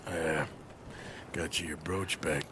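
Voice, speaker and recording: An actor playing a gruff, wry American outlaw with a dry, teasing drawl in a video game cutscene. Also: male voice, rumbling low, fairly even intonation, slow, background noise. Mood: guarded, fond. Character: gruff, wry, self-deprecating male outlaw.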